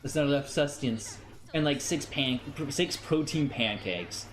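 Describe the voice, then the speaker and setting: Young adult man, American accent, medium pitch, chatty game streamer, repeats phrases, some background noise. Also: moderate pace. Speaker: livestreamer, VTuber. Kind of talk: casual game stream chat with playful race commentary.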